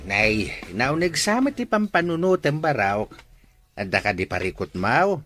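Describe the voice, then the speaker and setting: A man's voice: mid-range voice, very animated, normal speed, a bit bright, faint music behind. A man with an Ilocano accent playing a kindly, teasing father who advises his grown son in a radio drama. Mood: concerned, probing.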